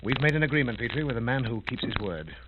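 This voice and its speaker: A man's voice: medium pitch, lively intonation, fast talker, lightly acted. An actor playing a commanding, determined male investigator with clipped, authoritative delivery in a 1930s radio drama. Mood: firm, purposeful. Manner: clipped, authoritative, giving orders. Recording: noisy, clicking, tinny 1930s radio recording.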